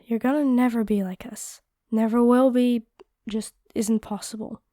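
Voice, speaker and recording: A woman playing a kind, encouraging vampire fighter in a roleplay audio drama, speaking gently and earnestly. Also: fairly high pitch, steady tone, unhurried, no room sound, clean studio sound, natural voice.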